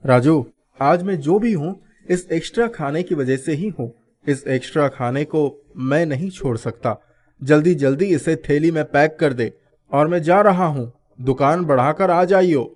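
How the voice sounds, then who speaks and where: A man's voice: a little high, a little slow, a little mellow. A man voicing a kind-hearted restaurant owner in theatrical, earnest storybook dialogue. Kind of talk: animated storybook dialogue in Hindi.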